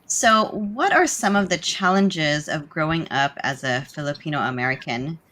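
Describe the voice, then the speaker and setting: A woman who grew up speaking Tagalog first hosts a panel discussion, chatty and quick to jump in with her own asides. Her voice sits a little low. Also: expressive, a bit bright. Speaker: podcast host.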